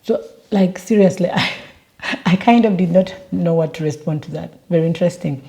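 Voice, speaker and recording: A woman's voice: fairly low pitch, brisk, creaky, dark tone. A young woman from Uganda chatting casually in a travel vlog, with lots of fillers and restarts. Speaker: vlogger.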